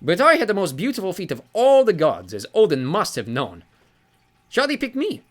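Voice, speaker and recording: Brief: man doing a preening ancient sea god, high and breezy, swoops all over, storybook reading. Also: huge pitch swings, moderate pace, full character voice. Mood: boastful. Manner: chirpy, self-satisfied, breezy. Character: vain, cheerful ancient male sea god. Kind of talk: audiobook character voice.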